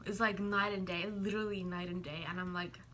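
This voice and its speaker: Female vlog co-host from Finland, bright forward voice, casual animated storyteller, faint noise. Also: on the low side, a little fast. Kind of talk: casual chat.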